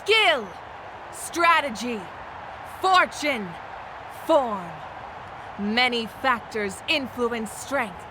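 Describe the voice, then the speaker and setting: A woman playing a regal, confident warrior ruler in a video game, giving a ceremonial speech in a measured, declamatory manner. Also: a little high, background noise.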